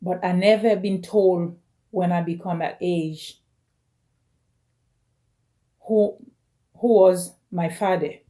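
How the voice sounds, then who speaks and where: Lady in her 40s, halting personal vlog, lowish warm voice. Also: fairly even intonation. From Caribbean. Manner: slow, halting, phrase by phrase. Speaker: vlogger. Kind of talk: personal video message.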